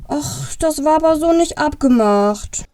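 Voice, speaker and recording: A woman's voice: high-pitched, expressive, unhurried, warm tone, no echo, studio quality. A woman voicing a little elf who fibs clumsily and overemphatically in a family Christmas audio play. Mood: indignant. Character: little cookie-loving elf, a clumsy fibber.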